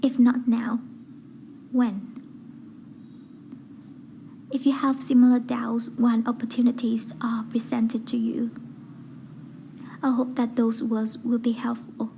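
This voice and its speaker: A woman with a fairly high, very mellow voice recites a prepared speech. Her delivery is careful and earnest, with very little rise and fall. Faint noise sits behind her. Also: level tone, takes it slowly, creaky, long pauses, low-quality recording. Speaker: voice acting learner reading a speech. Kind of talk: rehearsed public speech recitation.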